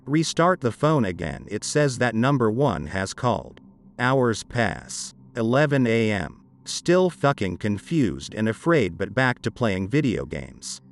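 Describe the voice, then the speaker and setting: Man narrating creepy stories, lively pitch. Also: creaky. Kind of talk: scripted narration of horror greentext stories. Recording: dark ambient music behind. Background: dark horror background music.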